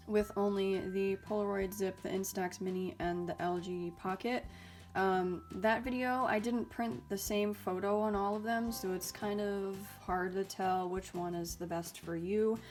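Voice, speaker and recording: A young woman with a mid-range voice chats through a casual product review, friendly and full of little ums and likes. A lingering cold leaves her slightly raspy and stuffy, and faint music plays quietly under her talk. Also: medium pitch, fairly even intonation, moderate pace. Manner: chatty and upbeat, with frequent ums and likes. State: slightly raspy and stuffy, getting over illness. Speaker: YouTube host reviewing mini printers.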